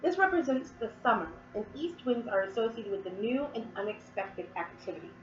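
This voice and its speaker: A woman's voice: on the high side, sing-song, a little fast, reverberant, far from the mic, dull audio, slight background noise. A woman from Massachusetts explaining Wiccan verses in casual, rambling talk full of fillers, sniffling and coughing from a cold. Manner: chatty, rambling with many fillers. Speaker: Wiccan teacher.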